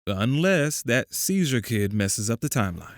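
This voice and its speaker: A man playing a wry, self-assured philosophical acquaintance in an audio drama, measured and cryptic. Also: mid-range voice, very animated, natural voice. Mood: wry, knowing. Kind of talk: audio drama phone-call acting.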